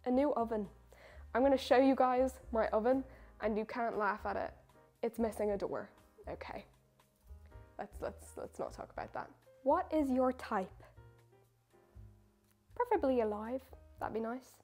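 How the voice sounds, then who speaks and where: Young baker girl rambling through fan questions, kinda high voice, bit of music low in the back. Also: animated, normal speed, long pauses, darkish tone. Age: young adult. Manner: chatty, self-mocking, acts out anecdotes. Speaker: baker and video creator. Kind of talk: casual question-and-answer chat.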